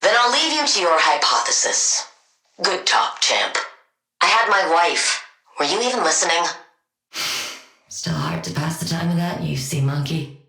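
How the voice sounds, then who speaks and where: A woman playing a cold, sardonic scientist villain in a video game, speaking in a dry, clipped deadpan. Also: fairly low pitch, measured pace, pauses often, echoey room, heavily acted. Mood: dry, matter-of-fact. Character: cold, sardonic female genius scientist villain devoted to her wife.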